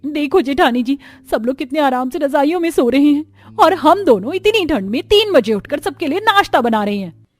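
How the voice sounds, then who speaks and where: A woman voicing a weary, overworked young daughter-in-law in an animated story, complaining plaintively. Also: high-pitched, normal speed, no echo, pitched above the performer's own voice. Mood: worried.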